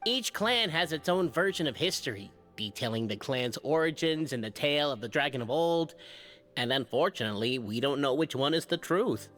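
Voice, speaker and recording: An actor playing an excitable, grandiose bard-like clan historian in a fantasy video game, in an echoey hall. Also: male voice, fairly high pitch, moderate pace. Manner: grandiose, declaiming. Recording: echoey hall reverb with music.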